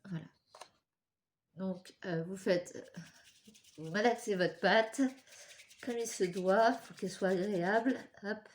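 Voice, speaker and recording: A woman's voice: mid-range voice, slow pace, pauses often, slight background noise. Chatty woman with a stuffy nose. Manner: chatty, apologetic, talks while working with her hands. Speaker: crafts tutorial host. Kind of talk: casual step-by-step craft tutorial.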